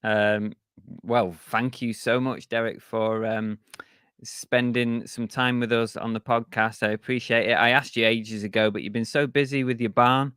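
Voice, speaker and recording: A man hosting a podcast, chatting in a relaxed way with plenty of fillers. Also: mid-range voice, unhurried, clear, resonant tone, no room sound, studio quality. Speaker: podcast host. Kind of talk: casual podcast chat with sponsor read.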